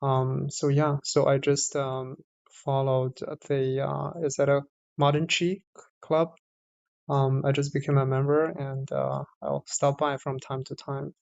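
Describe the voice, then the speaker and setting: A man who has just joined the room speaks hesitantly, pausing often to fill gaps. His voice is gravelly and slow, with a flat pitch line. The sound is dry. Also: dry recording, muffled recording. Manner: hesitant, many fillers. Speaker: new member, listener. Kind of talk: casual chat.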